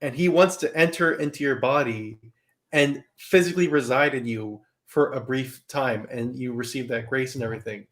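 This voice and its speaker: A man in a casual group discussion presses his points with probing, challenging questions. His voice sits at an ordinary middle pitch. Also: moderate pace, fairly bright tone. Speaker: podcast guest.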